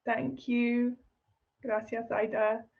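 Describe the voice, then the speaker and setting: High-voiced woman moderating a video call. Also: level tone, slow pace, creaky, phone-quality sound. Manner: polite, inviting speakers in turn. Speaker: session moderator.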